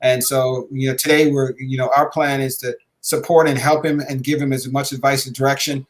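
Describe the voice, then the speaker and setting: A middle-aged man hosting a show conversationally, halting often with filled pauses. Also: medium pitch, little pitch movement. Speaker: podcast host, basketball coach.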